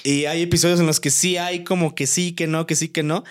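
A man's voice: fairly high pitch, fairly even intonation, brisk. A young adult man with a Mexican accent, co-hosting a podcast in casual, colloquial talk with plenty of slang. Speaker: podcast co-host. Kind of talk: casual chat.

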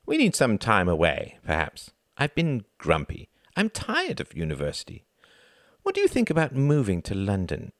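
A man playing a grumpy, self-absorbed husband in an audiobook drama, measured and defensive as he tries to placate. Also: fairly low pitch, wildly swooping pitch, no echo, studio quality, pitched above the performer's own voice. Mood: placating.

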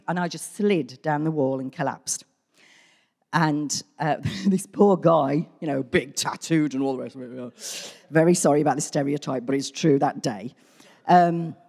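A middle-aged woman with a hoarse, gruff voice, candidly telling her personal story to a church. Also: low voice, a little slow, a little mellow. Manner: candid, conversational, self-deprecating. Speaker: church member giving testimony. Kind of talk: personal testimony. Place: large church hall. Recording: church hall with long reverb.

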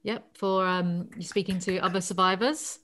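A woman's voice: on the low side, steady tone, normal speed, fairly bright tone. A woman interviewer chatting in a casual, informal conversational way.

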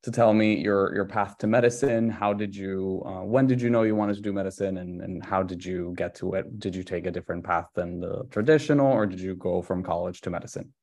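Low-voiced male podcast host. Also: brisk, few pauses, a little mellow. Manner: chatty, rambling questions. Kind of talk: interview hosting.

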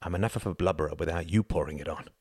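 A man's voice: sits low, rapid-fire, a little mellow, slightly rough, dry recording, studio quality. A man voicing a charming, sensitive young man in a storybook, easily moved and hesitant in his speech. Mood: tearful. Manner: hesitant, emotional.

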